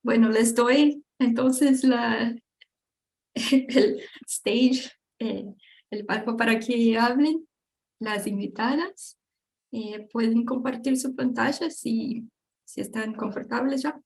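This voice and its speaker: A woman's voice: on the high side, slow, gravelly, darkish tone. A woman hosting an online panel, introducing the speakers with many hesitant fillers. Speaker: event host.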